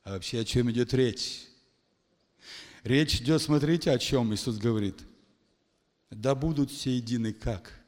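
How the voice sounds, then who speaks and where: A man preaching a charismatic sermon to a congregation, emphatic, swinging from hushed lines to shouted exclamations. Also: medium pitch, unhurried, long pauses. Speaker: pastor. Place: church hall. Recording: long hall reverb.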